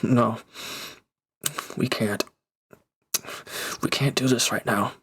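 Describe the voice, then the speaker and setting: A man acting in an intimate audio drama as a gruff but caring reformed villain, earnest, halting and awkwardly affectionate. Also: mid-range voice, fairly even intonation, a bit bright, exaggerated voice. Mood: flustered, torn.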